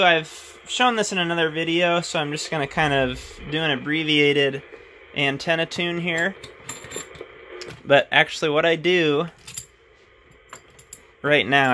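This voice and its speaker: A man with a bright, forceful voice talks through his radio setup at his desk. He speaks in a relaxed, hands-on way, pausing on "uh" as he works the controls. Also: a little high, slight background noise. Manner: relaxed, thinks aloud with frequent "uh" while handling equipment. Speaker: radio hobbyist showing his gear. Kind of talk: casual hands-on demonstration.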